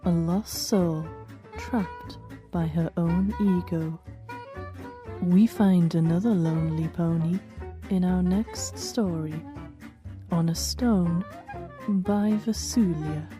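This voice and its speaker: A woman's voice: lowish voice, slow. A woman presenting as the host of a story show, delivering scripted introductions. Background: plucked guitar music.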